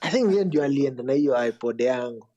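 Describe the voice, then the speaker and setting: A man in a casual podcast chat, telling a story in a halting, rambling way. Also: mid-range voice, animated, warm tone, lo-fi sound.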